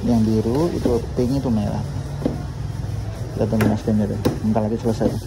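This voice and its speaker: Chatty vlogging dad, street noise. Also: male voice, fairly low pitch, fairly even intonation, brisk. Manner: chatty, upbeat, points things out. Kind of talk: casual family vlog narration. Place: outdoors and in shops, on the move.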